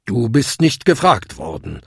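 A man voicing a huge, haughty old bell in a storybook, with a booming, rumbling, condescending delivery. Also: big pitch swings, measured pace, gravelly, a bit bright, slightly rough, no room sound, clean studio sound, exaggerated voice. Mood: pompous. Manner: pompous, condescending. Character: biggest old bronze bell, haughty, indignant, booming matriarch.

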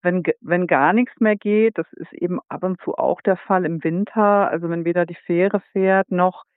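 A man's voice: high-pitched, fairly even intonation, normal speed, clean tone, dry recording. A man from Saxony, an author, explaining his book at length in an interview. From Saxony, Germany. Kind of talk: interview guest. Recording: tinny phone-like line.

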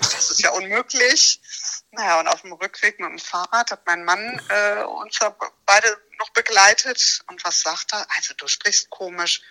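A middle-aged woman in a relaxed chat at home, telling stories and often trailing off into quiet asides. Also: medium pitch, rough recording. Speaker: interviewee. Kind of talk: casual chat.

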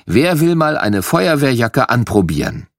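A man voicing a friendly male firefighter who calmly explains things to children. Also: mid-range voice, measured pace, dry recording, clean studio sound. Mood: reassuring. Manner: calm, reassuring, explaining to children.

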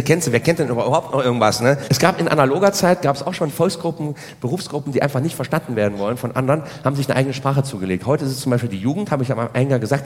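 A man performing a spoken stage comedy monologue, rambling and repeatedly checking for agreement with short tag questions. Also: medium pitch. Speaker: stand-up comedian. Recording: reverberant hall sound.

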